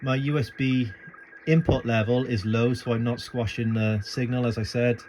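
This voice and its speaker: A man's voice: mid-range voice, steady tone, moderate pace. Informal man in a noisy phone recording. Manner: informal, hesitant, thinking aloud. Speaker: amateur radio hobbyist making a tutorial video. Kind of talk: casual unscripted screen and gear walkthrough. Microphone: handheld phone filming the setup.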